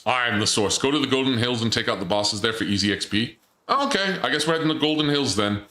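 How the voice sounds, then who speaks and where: Male streamer, crisp bright voice, echoey room, chatty and joking with chat. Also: mid-range voice, expressive, fast talker. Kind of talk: casual gameplay commentary.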